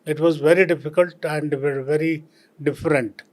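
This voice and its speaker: An older man from Punjab with an Indian accent, a retired civil servant answering interview questions slowly and reflectively, with many pauses and fillers. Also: fairly high pitch, fairly even intonation.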